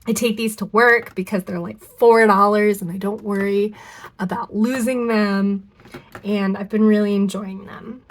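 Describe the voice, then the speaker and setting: A young woman speaks in a congested, nasal voice, clearly fighting a cold and losing her voice. She rambles warmly through her story with frequent ums, likes and restarts, like a casual vlog recorded in her own craft studio. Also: mid-range voice, steady tone, unhurried, a little hiss or hum. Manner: chatty, rambling, self-correcting with many ums and likes. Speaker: fountain pen vlogger. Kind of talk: casual unboxing chat to camera.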